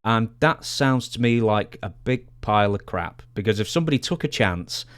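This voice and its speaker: A man's voice: on the low side, expressive, normal speed, fairly bright tone. A man co-hosting a podcast, putting wordy, frank questions in a conversational way. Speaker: podcast co-host and interviewer. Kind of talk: conversational interviewing.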